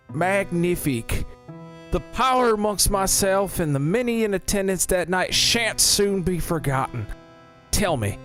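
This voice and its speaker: A man performing a hearty, grandiloquent old-time show promoter in a period audio drama. His voice sits fairly high and he talks in florid, salty patter, with soft music underneath. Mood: nostalgic, admiring. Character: effusive older showman recruiter, folksy, salty, grandiloquent. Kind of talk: audio drama monologue, 1890s period setting.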